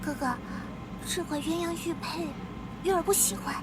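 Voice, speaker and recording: A high-voiced spirited noblewoman. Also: normal speed. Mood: pleading. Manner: willful, clingy and affectionate. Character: spirited young noblewoman, devoted to her brother. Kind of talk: animated drama dub. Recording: background noise.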